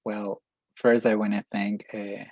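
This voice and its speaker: A man's voice: on the low side, level tone, a little slow, a little mellow, no echo, highs cut off. A man with a Peruvian Spanish accent, a native Spanish speaker, sharing personal reflections in a spiritual vlog and searching for his words as he goes. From Peru. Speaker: life coach and energy healer.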